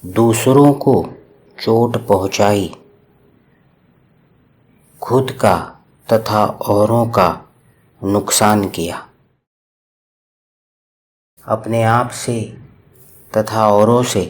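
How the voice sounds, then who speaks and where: Very slow, calm male meditation guide. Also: fairly low pitch. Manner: slow, calm, soothing instructions with long pauses.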